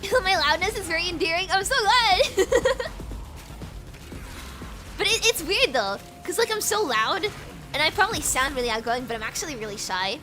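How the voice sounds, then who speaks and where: A woman's voice: very high voice, sing-song, moderate pace, pushed and bright, soft background music. A young woman streaming a game in casual chat, bubbly and giggly, rambling and repeating her words, switching between British and American accents. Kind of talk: casual chat while gaming.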